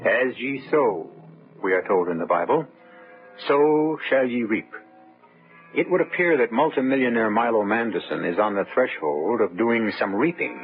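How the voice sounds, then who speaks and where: Guy hosting an old radio mystery, low ominous narration, tinny sound, bit of music. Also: animated. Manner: measured, ominous, theatrical. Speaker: host and narrator of radio drama. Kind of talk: dramatic scripted narration.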